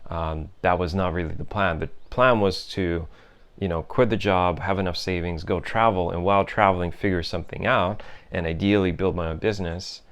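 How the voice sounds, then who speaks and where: A young man telling his life story in a thoughtful, unhurried way, with many fillers and restarts. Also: low voice, fairly even intonation, a bit bright, smooth. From Europe, raised in several countries. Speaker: host. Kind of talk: reflective personal monologue.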